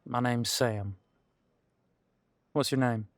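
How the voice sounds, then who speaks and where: A man's voice: mid-range voice, fairly even intonation, slow, no echo, close to an everyday voice. Man playing a folksy veteran cop, dry close sound. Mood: friendly, easygoing. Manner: easygoing, folksy, hesitant, chatty. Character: friendly, folksy, long-serving supernatural squad cop, older than he looks. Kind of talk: conversational audio roleplay to an unseen partner.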